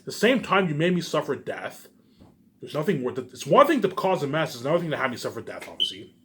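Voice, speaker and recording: A man's voice: a little high, sing-song, fast talker, fairly bright tone, slight background noise. A man giving a rambling, unscripted vlog monologue, emphatic and repetitive, circling back over his phrases and swearing at times. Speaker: vlogger.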